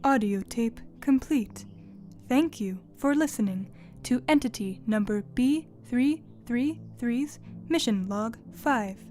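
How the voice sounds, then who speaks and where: Calm audio-log guide, played by a woman, slightly high, mellow, formal scripted announcer for drama. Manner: measured, even, formal. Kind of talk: scripted announcement, credits reading.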